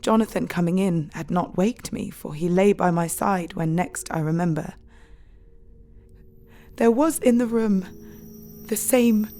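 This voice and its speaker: Soft, mellow, brave Victorian wife. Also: female voice, medium pitch. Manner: solemn, measured, tender. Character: brave, distressed gentle young married Victorian woman, Mina. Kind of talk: dramatized audio fiction.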